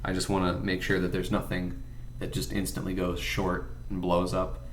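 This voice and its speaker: A man giving an unscripted hands-on repair demonstration, thinking aloud in a halting way as he explains each step. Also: low voice, completely flat delivery, a little hiss or hum. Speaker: electronics repair hobbyist presenter.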